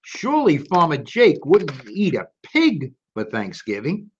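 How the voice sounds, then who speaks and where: A man's voice: on the high side, huge pitch swings, measured pace, a little hiss or hum. A man reading a children's story aloud expressively, with casual asides directly to young listeners. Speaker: storytime reader. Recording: dull lo-fi recording.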